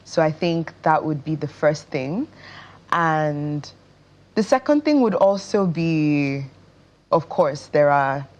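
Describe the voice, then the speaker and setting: A woman with a Nigerian accent speaking as a guest in a casual interview, explaining things in a measured way. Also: low voice, lively intonation. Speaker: interview guest, stage actress.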